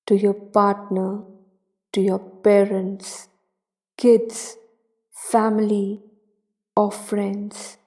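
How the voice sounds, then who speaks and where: A woman leads a guided meditation in a relaxed, mellow voice of medium pitch. Her delivery is extremely slow and deliberate. She speaks in short, soothing phrases with long pauses between them.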